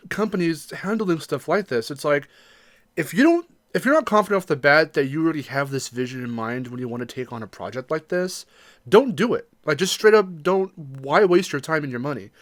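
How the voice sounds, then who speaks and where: A man's voice: on the high side, lively intonation, moderate pace, no echo, studio quality. A man co-hosting a podcast, talking casually in an emphatic, opinionated way. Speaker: podcast co-host. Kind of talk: casual discussion podcast chat.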